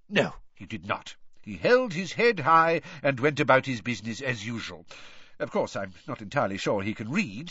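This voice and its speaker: A man voicing an old, kindly wizard headmaster, measured, courteous and dryly witty. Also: medium pitch, bright, forceful tone, husky, dry recording, highs cut off, voice pushed up, heavily acted. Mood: dryly humorous. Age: older.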